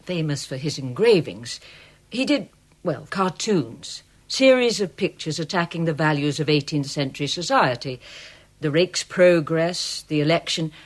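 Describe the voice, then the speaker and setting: A chatty, husky museum clerk. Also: female voice, deep voice, lively intonation, unhurried. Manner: chatty, eager narration. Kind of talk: radio drama acting.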